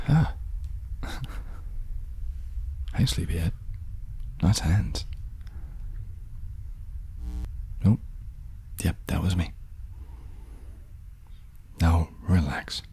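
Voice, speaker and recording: A man in his thirties playing a smug, seductive inner alter ego in an ASMR short film, in a breathy whisper with a slow, intimate, slyly cocky manner. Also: low-pitched, darkish tone, full character voice. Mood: teasing, commanding. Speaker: ASMR performer.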